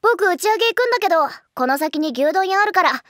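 An actress putting on a lowered, offhand drawl to play a smug young male band musician in a skit. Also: expressive, fast, a bit bright, a little hiss or hum. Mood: aloof.

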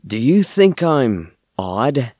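A man playing a bookish young misfit and loyal son in an audio drama, earnest and expressive. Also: medium pitch, slow, no room sound, clean studio sound. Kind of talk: amateur audio drama acting.

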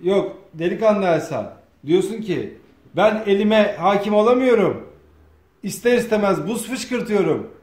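A man's voice: high-pitched, lively intonation, unhurried, a little mellow. A man, bossy and teasing as he coaches performers and feeds them lines. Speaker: off-camera director of a comedy sketch. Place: home dining room, indoors. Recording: echoey room, faint noise.

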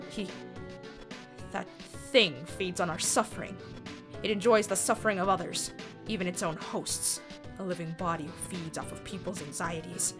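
A woman acts a solemn, earnest young human in a comic dub. The voice sits fairly low and explains things gravely and haltingly, with music clearly behind it. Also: natural voice. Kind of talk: dramatic comic dub acting.

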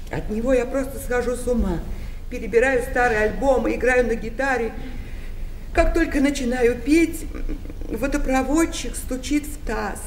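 A woman's voice: fairly high pitch, darkish tone. An actress in a radio play voicing a lonely, anxious, genteel single woman with a tremulous, plaintive manner. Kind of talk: 1990 Russian radio play acting. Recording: roomy, distant radio recording with faint hiss.

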